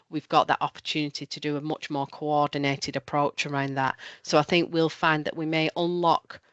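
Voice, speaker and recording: A woman presenting for a local council at an online event, talking in a measured way with ums and restarts, a little nasal from a cold. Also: low-pitched, a bit bright, no echo. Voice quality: slightly nasal. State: has a cold. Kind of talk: webinar presentation and Q&A. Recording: dull lo-fi online call audio.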